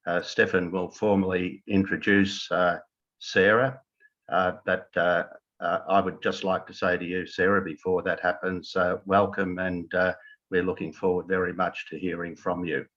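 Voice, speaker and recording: A man with a very low voice opens a meeting, halting often with "uh" between words. The audio sounds dull and lo-fi. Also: level tone, fairly bright tone. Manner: very halting, many "uh"s. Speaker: meeting chair.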